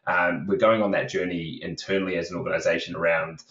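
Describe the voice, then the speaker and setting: A man's voice: sits low, flat delivery, fast talker, fairly bright tone. A man with young kids, a recruitment company co-founder, talking casually as a podcast guest with lots of fillers and restarts. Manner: rambling, many fillers and restarts. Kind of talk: casual podcast interview talk. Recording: roomy, distant, dull video call audio.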